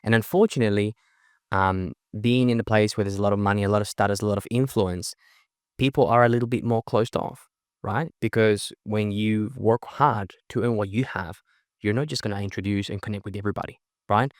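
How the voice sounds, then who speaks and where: Man, young, spanish-australian accent, native spanish, rapid delivery, lowish voice, dry close sound. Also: fairly even intonation, dry recording. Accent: mixed Spanish and Australian. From Chile. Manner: fast, emphatic, leans on "right?" tags. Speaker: podcast guest, networking event organizer. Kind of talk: energetic casual interview talk.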